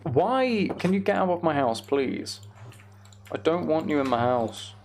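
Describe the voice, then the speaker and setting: Casting: male game streamer, creaky voice, rambling and mock-exasperated, faint background noise. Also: a little high, very animated, a little slow, a little mellow. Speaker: game streamer hosting a live chat stream. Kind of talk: casual gaming commentary and chat.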